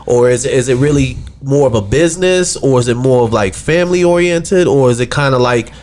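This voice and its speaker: A man's voice: medium pitch, fairly quick, darkish tone. A man hosting a casual talk show chat, slangy, often repeating himself as he agrees or asks questions.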